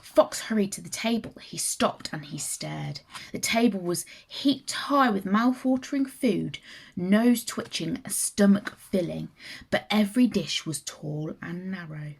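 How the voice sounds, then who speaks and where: A woman narrating a children's story in an expressive, deliberate manner. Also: medium pitch, vocal fry, husky. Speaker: teacher reading a story aloud.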